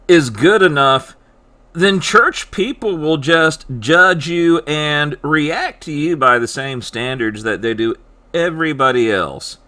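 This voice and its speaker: Slow, bright-voiced man reading his book. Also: a little high. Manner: measured, emphatic, second-person address. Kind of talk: slow earnest audiobook narration.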